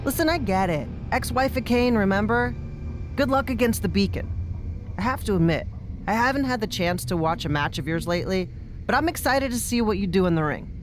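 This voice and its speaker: An actress playing a mischievous veteran wrestler drawn to darkness in a game cutscene, conversational and teasing. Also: female voice, mid-range voice, animated, fairly quick, a little hiss or hum, lightly acted. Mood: intrigued, admiring. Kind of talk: video game cutscene acting.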